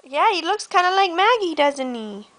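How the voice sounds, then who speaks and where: A woman chatting playfully with a toddler over a picture book, prompting and encouraging in animated child-directed talk. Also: extremely high pitch, normal speed. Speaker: mother reading a picture book with her child.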